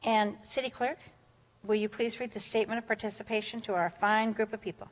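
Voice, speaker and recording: A woman's voice: medium pitch, steady tone, a little fast. A woman acting as mayor pro tem, chairing a city council meeting in a friendly, chatty, plain-spoken way. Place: council chamber. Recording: long reverb in a council chamber.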